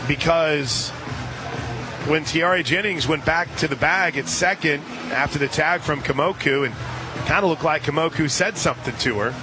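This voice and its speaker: An American man doing live radio play-by-play commentary of a softball game. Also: on the high side, normal speed, rough recording. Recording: outdoor stadium broadcast with crowd and music. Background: ballpark crowd and music.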